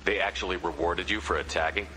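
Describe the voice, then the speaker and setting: An actor playing a steady, commanding squad leader in a video game, with a firm, measured, probing manner. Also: male voice, low voice, a little hiss or hum. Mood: firm, questioning. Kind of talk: video game dialogue acting.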